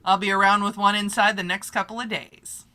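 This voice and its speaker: A woman's voice: medium pitch, little pitch movement, brisk, heavy background noise, lightly acted. A woman playing a brash, eager newspaper photographer in an old-time radio drama, with a breezy, slangy, emphatic delivery. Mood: eager, scheming.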